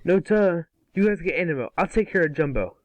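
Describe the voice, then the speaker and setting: A man voicing a brash, cocky young boy hero in a cartoon table read, quippy and excitable. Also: on the high side, a little fast, pitched above the performer's own voice, natural voice. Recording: distant microphone.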